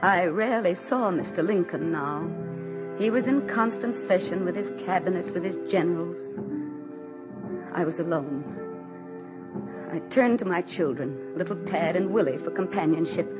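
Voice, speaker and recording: Woman acting intense grieving high-strung wife, old radio drama, theatrical, music in the recording. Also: animated, normal speed, natural voice. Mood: wistful.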